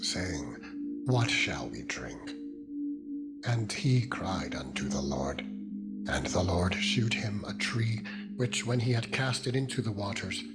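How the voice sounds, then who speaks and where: A man giving a solemn, dramatized scripture reading in a measured, declamatory manner that lifts toward proclamation. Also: on the low side. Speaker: narrator, Bible reader. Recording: loud meditative music with singing-bowl tones behind. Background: loud music, ringing singing bowl tones.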